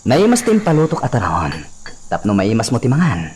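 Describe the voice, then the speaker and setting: A man's voice: medium pitch, huge pitch swings, fairly quick, noisy background. A man with an Ilocano accent playing a ranch owner who gives orders in a radio drama. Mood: coaxing.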